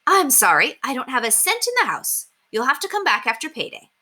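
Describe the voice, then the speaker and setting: A woman's voice: high voice, very animated, brisk, sharp tone, voice pushed up, exaggerated voice. A woman voicing a harried, brusque young mother in a children's storybook, sounding put-upon.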